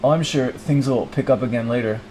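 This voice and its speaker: A man's voice: mid-range voice, a little fast, a little mellow, slight background noise, natural voice, low-quality recording. Man acting a frantic, stressed young businessman. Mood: rushed. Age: late twenties. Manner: abrupt, panicky, apologetic.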